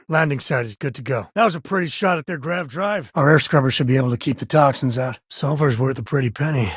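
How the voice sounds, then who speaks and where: An actor voicing a rugged, loyal space ranger and father in a video game, husky, with a wry, folksy, laid-back way of talking. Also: medium pitch, no echo, clean studio sound. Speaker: video game voice actor playing a companion character. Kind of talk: game character voice lines.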